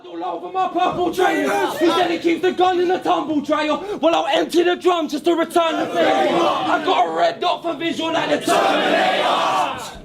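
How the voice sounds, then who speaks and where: A man shouting battle rap bars in an aggressive, chanting way. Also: very high voice, fairly even intonation, normal speed, vocal fry. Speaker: battle rapper. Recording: loud crowd and noise behind.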